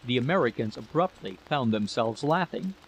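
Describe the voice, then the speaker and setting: A man's voice: mid-range voice, animated, normal speed, low-quality recording. Male narrator for prose fiction, mid-range voice, faint rain-like hiss underneath. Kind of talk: scripted story narration.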